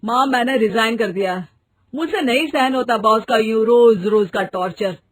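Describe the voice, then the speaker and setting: A woman's voice: on the high side, moderate pace, natural voice. A woman voicing a stressed young husband who complains in a tense way. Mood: upset. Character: adult son, stressed husband who quit his job. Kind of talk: storybook voice acting.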